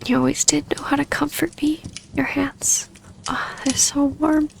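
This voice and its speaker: A woman playing a tender, yearning vampire baroness in an intimate ASMR roleplay, speaking softly and breathily with hesitant, formal, old-fashioned phrasing and often sinking toward a whisper. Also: sits high, flat delivery, a little slow, creaky, full character voice.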